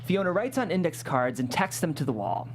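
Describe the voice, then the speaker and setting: A woman's voice: on the low side, very animated, brisk, a little hiss or hum. A woman hosting a script read, narrating stage directions and chatting with guests. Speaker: host and stage-direction reader.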